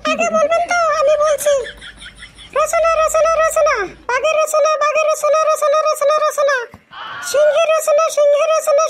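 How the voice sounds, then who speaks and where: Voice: extremely high pitch, very noisy. A performer voicing a little schoolgirl in a comic cartoon, naive and literal-minded, with an obedient manner.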